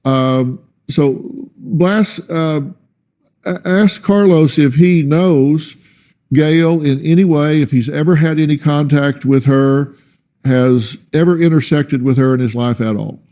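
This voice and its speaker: Deliberate probing talk show host. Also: male voice, a little high, mellow. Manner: deliberate, probing questions with hesitant restarts. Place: tv studio.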